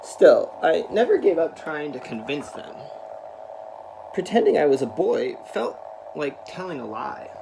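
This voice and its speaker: Earnest guy talking to kids like storytime, bit of gravel in his voice, noisy recording. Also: on the high side, big pitch swings, unhurried. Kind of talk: read-aloud of a children's picture book with spoken introduction.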